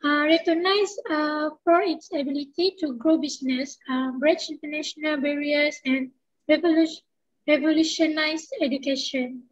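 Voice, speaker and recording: A young woman with a Malaysian accent reading a prepared panel answer over a video call, halting, with fillers and self-corrections. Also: high voice, steady tone, highs cut off. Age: young adult. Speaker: student panelist. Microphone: laptop microphone on video call.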